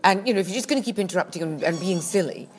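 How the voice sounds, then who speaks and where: A woman's voice: lowish voice, lively intonation, racing, a little hiss or hum. A woman co-hosting a podcast in a shop, blunt, grumpy and sardonic, recorded on a phone. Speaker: podcast co-host. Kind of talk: bickering casual podcast chat. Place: busy charity shop. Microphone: phone held up by co-host.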